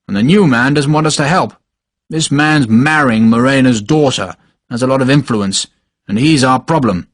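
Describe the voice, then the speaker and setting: A man voicing a short, pious older cavalry major who speaks gruffly and brusquely in clipped commands. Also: medium pitch, fairly bright tone, no echo, studio quality. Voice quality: gruff, brusque, barking laugh. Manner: clipped commands, fervent and impatient. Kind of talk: audiobook character voice.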